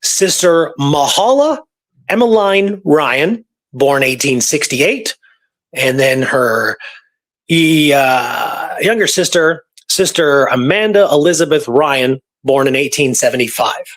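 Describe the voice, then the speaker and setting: Higher-pitched man in casual history talk. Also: animated, slow, a bit bright. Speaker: podcast host.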